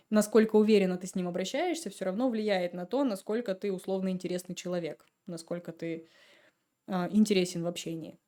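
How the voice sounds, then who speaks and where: Woman chatting on a podcast, mellow voice, laid back and laughing. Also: mid-range voice. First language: Russian. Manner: relaxed, chatty storytelling with laughs. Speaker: podcast guest. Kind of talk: casual chat.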